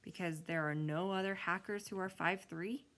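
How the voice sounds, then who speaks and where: A woman's voice: fairly low pitch, fairly even intonation, normal speed, a bit bright. A woman voicing a skeptical, exasperated schoolboy in a storybook, sighing and dismissive. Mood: incredulous.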